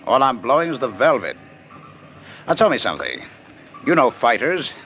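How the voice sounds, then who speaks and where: An actor playing a cocky, evasive big spender in a 1950s radio crime drama. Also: male voice, mid-range voice, wildly swooping pitch, normal speed, sharp tone, full character voice. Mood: defensive, boastful. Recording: slightly noisy old radio recording.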